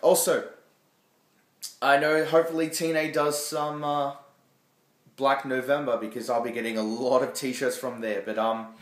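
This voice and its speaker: A man's voice: mid-range voice, flat delivery, moderate pace, long pauses, slight background noise. Rambling young man in an echoey kitchen. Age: young adult. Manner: rambling, lots of fillers and restarts. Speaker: vlogger. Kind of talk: casual unboxing vlog. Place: small home kitchen, indoors. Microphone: phone held at arm's length. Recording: echoey room.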